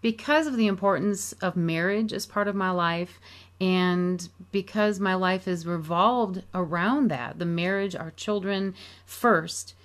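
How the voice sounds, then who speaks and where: A woman's voice: lowish voice, a little slow. A middle-aged woman, an author and musician, talking earnestly and heartfelt in a casual radio interview. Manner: earnest and heartfelt, with some restarts. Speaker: author and musician promoting her book.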